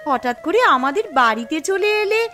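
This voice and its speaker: A bossy cartoon housewife, acted by a woman, speaks in a very high voice that swings up and down. She exclaims and insists like a daughter who runs the household. Soft music plays underneath. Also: big pitch swings, moderate pace, exaggerated voice. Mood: fussing, welcoming. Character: bossy married daughter and housewife, sharp-tongued with husband. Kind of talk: Bengali moral-story cartoon dialogue.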